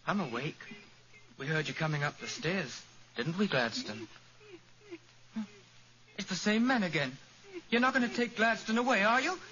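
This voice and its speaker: An actor playing a childlike, simple-minded young man, naive, earnest and halting, in 1940s radio drama style. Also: high voice, lively intonation, sharp tone. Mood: anxious pleading. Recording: dull, noisy old radio recording.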